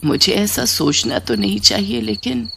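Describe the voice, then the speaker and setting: A woman voicing a blind elderly mother-in-law in a story, anxious, suspicious and plaintive, muttering slowly. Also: mid-range voice, big pitch swings, vocal fry, noisy background, heavily acted. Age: older.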